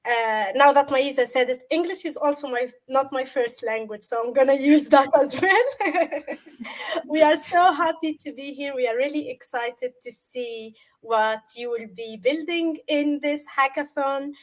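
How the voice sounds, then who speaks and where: A woman's voice: high-pitched, a little slow. A woman who is a native Arabic speaker, a product manager guest explaining things on a video call. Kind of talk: casual webinar chat, explaining.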